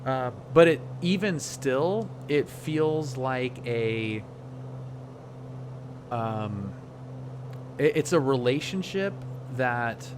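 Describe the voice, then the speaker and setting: Man chatting over a steady background hum. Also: mid-range voice. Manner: reflective, halting, searching for words. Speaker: flight sim streamer. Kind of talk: casual livestream commentary.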